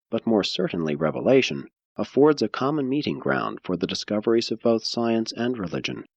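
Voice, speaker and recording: A man with a husky voice reads a scripted audiobook in a solemn, measured way. His intonation is very varied, moving widely within each phrase. The recording is very dry and a little dull. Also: lowish voice, no echo. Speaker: audiobook narrator. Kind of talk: scripted audiobook narration of religious philosophy.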